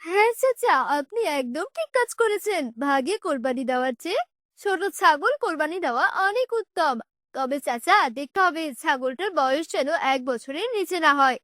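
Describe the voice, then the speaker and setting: A woman's voice: high voice, animated, normal speed, a bit bright, no room sound, clean studio sound, voice pushed down. A woman voicing a polite, caring boy in a children's cartoon, friendly and full of questions. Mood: respectful, approving.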